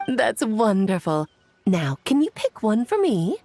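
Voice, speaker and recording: A woman's voice: medium pitch, big pitch swings, measured pace, dark tone, soft background music. A woman voicing a breezy, playful witch auntie in a video game, coaxing sweetly and theatrically as if to a child.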